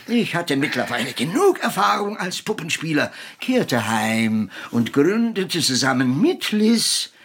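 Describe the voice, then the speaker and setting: An actor playing a cantankerous, vain old puppeteer in a radio play, pompous and lecturing, with a raspy voice. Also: male voice, medium pitch, bright, forceful tone, exaggerated voice. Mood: reminiscing. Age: older. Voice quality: raspy, gravelly. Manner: theatrical, pompous, lecturing, irascible.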